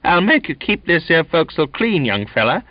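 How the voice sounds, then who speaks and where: A man voicing a bullying, quarrelsome male sailor who snarls and shouts insults. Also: fairly high pitch, very animated, brisk, no room sound, voice pushed up. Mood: snarling. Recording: dull lo-fi dry recording.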